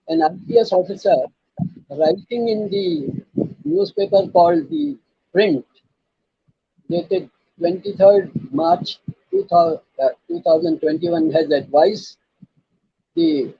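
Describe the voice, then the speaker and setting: An older man with an Indian accent and a raspy voice, giving a formal, emphatic legal talk and quoting judgments deliberately. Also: high voice, no room sound, lo-fi sound. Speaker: lawyer and channel host.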